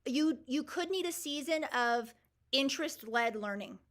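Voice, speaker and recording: A woman's voice: sits high, lively intonation, measured pace, a bit bright. A woman in her forties hosting a live Q&A, answering viewer comments in an enthusiastic, emphatic and reassuring way. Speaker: host.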